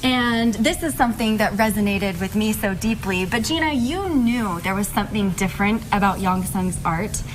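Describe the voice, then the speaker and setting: A woman's voice: mid-range voice, moderate pace, few pauses, music in the background, poor audio. A woman hosting a TV studio interview, upbeat and chatty, laughing easily with her guests. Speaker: TV show host. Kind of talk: morning TV interview.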